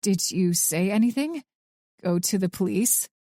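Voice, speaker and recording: A woman voicing a calm, careful young woman who talks in a gentle, steady way. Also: medium pitch, unhurried, dry recording, natural voice. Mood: urgent.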